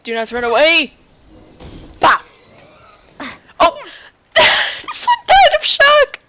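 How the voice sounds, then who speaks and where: A woman's voice: very high-pitched, huge pitch swings, drawn-out words, background noise. A woman streaming a video game with casual, playful commentary, chattering to herself and exclaiming as she plays.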